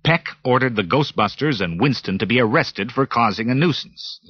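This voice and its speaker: A man's voice: mid-range voice, expressive, normal speed, lo-fi sound, background noise. A man narrating a children's read-along story dramatically, from a scripted text, on a 1980s cassette. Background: music.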